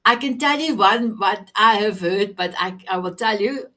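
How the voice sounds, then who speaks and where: A woman's voice: mid-range voice, moderate pace, pushed and bright. Effusive woman. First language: Afrikaans. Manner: effusive, searches for words while translating. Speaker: talk show host.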